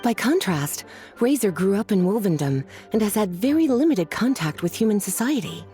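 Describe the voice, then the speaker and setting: A woman's voice: medium pitch, very animated, normal speed, warm tone, soft background music, natural voice. An actress playing a languid, flirtatious female mentor who calls others cuties and sweetie. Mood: teasing. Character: sultry, teasing female mentor and librarian.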